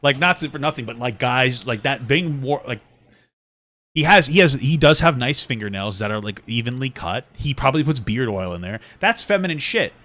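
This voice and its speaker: A man co-hosting a podcast, talking in casual, joking banter with a smooth voice and lots of fillers. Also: medium pitch, normal speed, no echo. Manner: animated, joking, rambling with many fillers. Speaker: podcast co-host.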